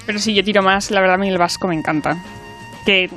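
A woman's voice: mid-range voice, fairly even intonation, fast talker, a bit bright, soft background music. A young woman with a Madrid accent in a casual radio chat. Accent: Madrid Spanish. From Madrid, Spain. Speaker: radio contributor. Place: radio studio.

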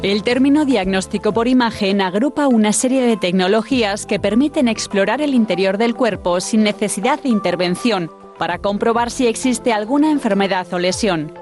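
A woman narrating a scripted radio report in a measured, explanatory way. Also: mid-range voice, a little mellow, faint music behind.

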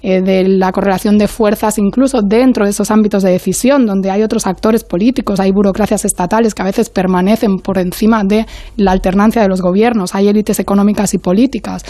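A woman speaking as an academic guest on a panel, in a measured, analytical way, often searching for her next word. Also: mid-range voice, fairly even intonation, mellow, no echo, studio quality.

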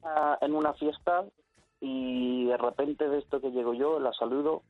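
A man's voice: mid-range voice, steady tone, normal speed. A man guest on a radio talk show, bashfully telling his own story with laughs. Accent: Spanish (Spain). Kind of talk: casual radio chat. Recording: phone-like line with faint music.

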